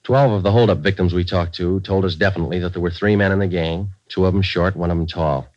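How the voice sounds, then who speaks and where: An American actor playing a stoic police detective sergeant in a 1940s radio crime drama, speaking in terse, matter-of-fact, deadpan phrases. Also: male voice, sits low, quick pace, dry recording, lightly acted. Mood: dry, businesslike. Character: stoic, clipped police detective sergeant, narrating his cases. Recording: muffled old 1940s radio recording.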